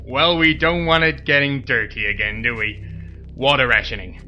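An actor playing a self-important, penny-pinching superhero in a comedy audio drama, earnest and declamatory. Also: male voice, mid-range voice, steady tone, normal speed, lo-fi sound, soft background music, lightly acted. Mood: dismissive.

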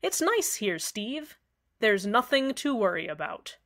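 A woman's voice: a little high, a little slow. A woman playing a serenely cheerful radio host. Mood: eerily calm. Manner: sweetly cheerful, unsettlingly calm. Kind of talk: scripted radio drama narration.

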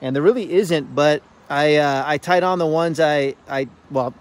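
A man's voice: on the high side, moderate pace. A man filming himself fly fishing outdoors by a river, talking casually with many fillers and restarts.

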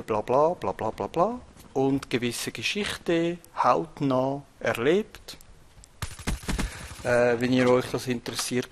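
A man's voice: medium pitch, slight background noise. A Swiss man with a Swiss German accent, rambling bluntly with many fillers while commenting on his own gameplay. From Switzerland. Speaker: gaming channel host.

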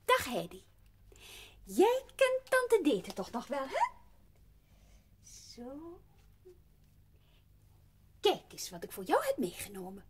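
A coaxing aunt in an audio drama. Her high voice swings extremely widely, sugary and persuasive, as she sweet-talks a child with a scheming sweetness. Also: huge pitch swings, takes it slowly, long pauses, noisy background, heavily acted. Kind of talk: Dutch audio drama acting.

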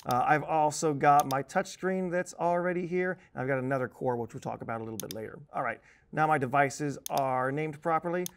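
Higher-voiced man teaching a tutorial. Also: a little fast, a little hiss or hum. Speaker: software training instructor. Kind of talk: conversational video tutorial. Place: indoor presentation studio at a desk. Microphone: lapel mic.

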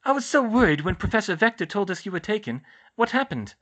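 A woman's voice: medium pitch, sing-song, quick pace, voice pushed up. A woman voicing an emotional, devoted teenage girl witch in a storybook. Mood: relieved.

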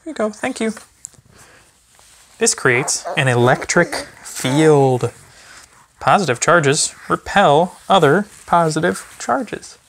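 A man in his thirties, a teacher, reading a children's book aloud with gentle, chatty asides to a baby. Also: fairly high pitch, lively intonation, slow pace, a little hiss or hum. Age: 30s. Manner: gentle parent talking to a baby. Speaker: physics teacher. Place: small carpeted or wood-floored room at home, indoors. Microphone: phone camera a few feet away.

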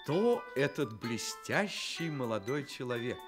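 Lively, courteous fairy-tale storyteller. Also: male voice, mid-range voice, over loud music. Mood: amused, formal. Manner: courteous, theatrical, drawn-out emphasis. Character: courteous, playful male storyteller addressing fairy-tale characters. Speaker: storyteller and host. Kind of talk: Soviet children's radio play acting.

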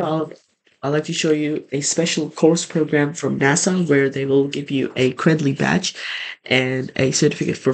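Male tech channel host for a website walkthrough narration; fairly high voice, noticeable vocal fry. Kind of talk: scripted screen-tour narration.

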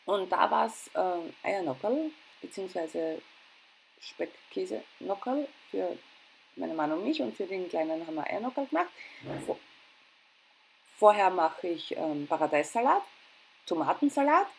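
A woman's voice: on the low side, lively intonation, a little slow, gravelly, rough recording. Chatty Austrian woman over background noise. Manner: chatty, informal, self-deprecating. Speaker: home and cooking vlogger. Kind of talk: casual voice-over narration of household routine.

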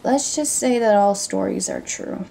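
A woman's voice: medium pitch, unhurried, gravelly, dark tone, low-quality recording. A woman playing a bold, teasing pirate captain in an audio roleplay, speaking in a cocky, flirtatious manner. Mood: confiding, proud. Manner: cocky, flirtatious, addressing listener with pet names.

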